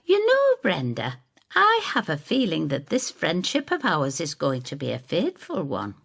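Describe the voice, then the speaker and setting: A woman's voice: sits low, huge pitch swings, moderate pace, creaky, fairly bright tone, breathy edge, no echo, full character voice. A woman voicing a proud, tart older woman, a wronged daughter, in a theatrical audio drama style. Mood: warm, grateful.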